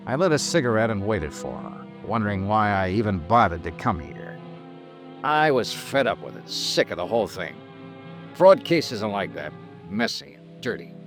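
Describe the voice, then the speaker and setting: An actor playing a world-weary, blunt American insurance investigator in a 1950s radio detective drama, speaking in clipped, cynical lines. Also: male voice, lowish voice, very animated, moderate pace, faint music behind. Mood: weary, disgusted. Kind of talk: 1950s radio detective drama acting with first-person narration.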